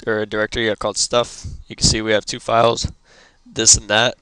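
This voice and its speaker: A young man who is sick and losing his voice, hosting a casual computer tutorial and stopping often with ums and throat clearing. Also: fairly low pitch, level tone, brisk, bright, forceful tone, dry recording. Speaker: tutorial host. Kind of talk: casual screen-recorded tutorial.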